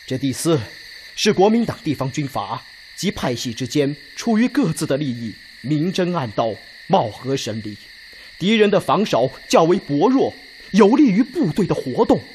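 Lively, insistent army officer. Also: male voice, a little high, slight background noise. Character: stubborn, earnest Red Army officer arguing his case. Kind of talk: Chinese radio drama acting.

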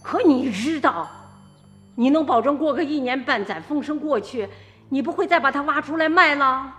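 A sharp-tongued scolding village mother. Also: sits high, expressive, normal speed, faint music behind. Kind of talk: radio drama acting.

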